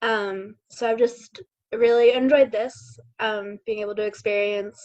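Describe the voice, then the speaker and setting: A woman speaking softly and reflectively on a video call. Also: medium pitch, fairly even intonation, unhurried. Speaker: event participant. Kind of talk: casual sharing on a video call. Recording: dull lo-fi call audio.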